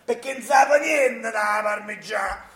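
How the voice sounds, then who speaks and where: A man's voice: high voice, measured pace, a bit bright. An actor playing a sarcastic, exasperated son in his thirties in a comic drama, with a Neapolitan accent and a theatrical, badgering delivery that repeats phrases insistently. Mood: bitter ranting. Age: 30s. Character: exasperated, sarcastic thirty-three-year-old son living with his mother. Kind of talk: stage-style comic radio drama acting. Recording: echoey room.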